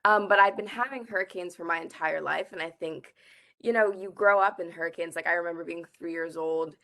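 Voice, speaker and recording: A woman's voice: fairly low pitch, steady tone, a little fast, fairly bright tone. A young woman from the Bahamas, a climate activist guest answering interview questions earnestly, with frequent "like" and "I think". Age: young adult.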